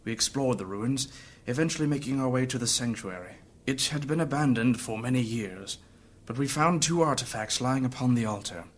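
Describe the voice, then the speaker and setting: A man's voice: medium pitch, lively intonation, fairly quick, hardly any pauses, natural voice. A man playing a wounded, earnest adventurer who tells his story courteously and formally in a fantasy audio drama. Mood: reflective.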